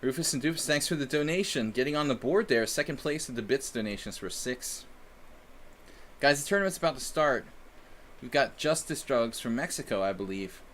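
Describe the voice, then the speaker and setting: A man from the United States talks casually while he streams chess. He rambles and pokes fun at himself. His voice sits at a middle pitch, with a faint noise floor under it. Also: animated, a little fast, fairly bright tone. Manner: chatty, rambling, self-deprecating. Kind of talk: casual live-stream chat.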